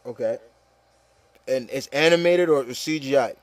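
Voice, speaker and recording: A man's voice: mid-range voice, unhurried, dry recording. A man hosting a casual, opinionated discussion, talking emphatically and repeating himself with plenty of "you know". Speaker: host of an opinion show.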